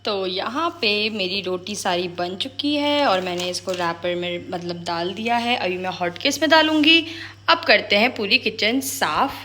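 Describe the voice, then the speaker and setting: A woman's voice: medium pitch, lively intonation, moderate pace, pushed and bright. Young woman, home vlogger, breezy chatter, echoey room with noise behind. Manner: chatty, mixes English words into Hindi. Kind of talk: casual vlog chat. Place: home kitchen.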